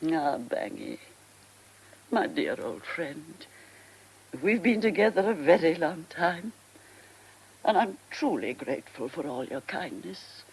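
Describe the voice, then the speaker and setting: An actress playing an elderly genteel former stage star, theatrical and gracious, with an upper-class English accent and a husky, breathy voice, in a British radio drama. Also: female voice, deep voice, very animated, takes it slowly, pauses often, darkish tone, a little hiss or hum, heavily acted. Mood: wistful nostalgia. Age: 60s or older.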